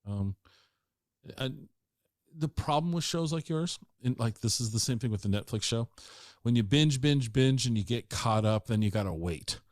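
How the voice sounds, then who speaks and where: A man's voice: mid-range voice, lively intonation, moderate pace, dry recording, studio quality. An American man hosting a podcast interview in an informal, rambling, conversational way. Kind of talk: casual interview chat.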